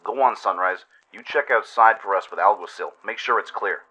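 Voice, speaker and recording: Man acting a male pony field medic, composed, brisk, reassuring, forceful bright tone, radio play. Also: lowish voice, breathy edge. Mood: reassuring, firm.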